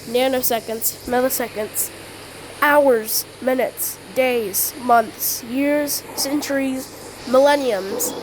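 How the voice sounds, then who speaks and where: A woman improvising an amateur mock emergency broadcast as the announcer, with halting, repetitive delivery. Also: sits high. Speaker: emergency alert announcer in a hobby scenario. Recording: clearly noisy home recording.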